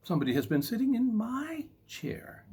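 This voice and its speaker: A man's voice: very high-pitched, sing-song, normal speed, dark tone, slightly rough, pitched above the performer's own voice, full character voice. A man voicing an indignant mother bear in a children's storybook.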